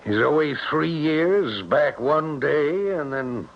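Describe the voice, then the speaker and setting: An actor playing a worried, folksy elderly small-town father in an old-time radio drama, with a creaky, rambling, homespun way of talking. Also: a little high, expressive, takes it slowly, heavily acted. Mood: folksy, fretting. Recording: noisy, muffled 1970s radio broadcast.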